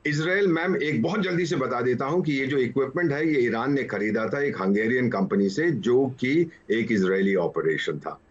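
Male ex-diplomat, seventies, husky, Indian accent, courtly manner, dull lo-fi video-call audio. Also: mid-range voice, lively intonation, moderate pace, hardly any pauses. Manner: courtly, addresses host with deference. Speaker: former diplomat, panel guest. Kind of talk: panel commentary. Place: at home.

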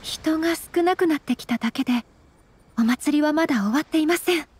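A woman's voice: high-pitched, brisk, a little hiss or hum, natural voice. An actress playing a demure, courteous young noble lady in a video game, speaking politely and formally. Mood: polite, composed. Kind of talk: anime-style video game voice acting. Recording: background game music.

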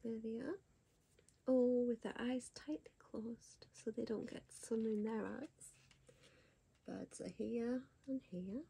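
A woman reading a bedtime picture book to a child in a soft whisper, gasping and asking the child questions. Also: on the high side, steady tone, takes it slowly, vocal fry, slightly rough. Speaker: bedtime story reader.

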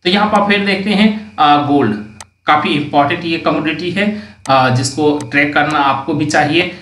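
A man with a slightly high voice walks through market charts one after another, pointing things out and reading price levels aloud. He sounds set back from the microphone in a roomy, echoing space. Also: moderate pace, fairly bright tone. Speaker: stock market analyst. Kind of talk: screen-recorded chart walkthrough. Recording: roomy, distant mic.

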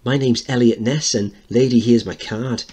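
Man acting child's make-believe tough guy, commanding, swaggering, husky, dramatic play reading. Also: medium pitch, steady tone, normal speed, a little mellow, pitched above the performer's own voice, close to an everyday voice. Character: children playing tough American lawman, cowboy, sergeant.

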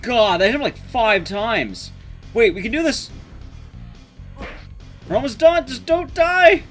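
A man's voice: very high voice, animated, a little slow, soft background music. A young man giving casual, sweary, self-deprecating live commentary as he speedruns a video game. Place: small room at home, game sound behind.

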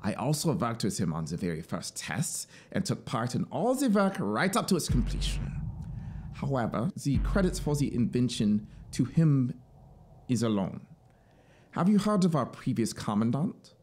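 Eager, animated military officer acted by a man, pitched up. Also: normal speed, a little hiss or hum, lightly acted. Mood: proud, fervent. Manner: animated, insistent, explanatory. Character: eager, talkative male military officer, devoted to his machine. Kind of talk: dramatic audiobook character reading.

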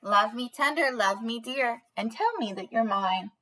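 A woman's voice: fairly high pitch, animated, unhurried, fairly bright tone, a little hiss or hum. A young woman preschool teacher doing a read-aloud for small children in a bubbly, sing-song manner, recorded on a webcam in a small room. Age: young adult. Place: small panelled indoor room.

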